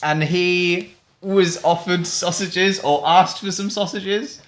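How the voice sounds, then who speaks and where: Higher-voiced man musing casually. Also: steady tone, takes it slowly, a bit bright. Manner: hesitant, musing. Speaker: online video host, quiz player. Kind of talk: casual chat, thinking aloud.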